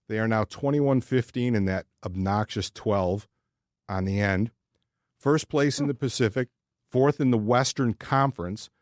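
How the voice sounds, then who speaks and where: A man's voice: lowish voice, a little mellow, dry recording, clean studio sound. A man co-hosting a sports podcast, chatting casually in a blunt, crude-humored way. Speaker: podcast co-host.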